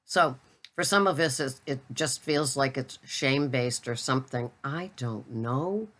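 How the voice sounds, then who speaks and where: Low-voiced older woman chatting casually. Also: measured pace, fairly bright tone. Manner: chatty, rambling, self-deprecating. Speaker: keto vlogger. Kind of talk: casual talking-head chat.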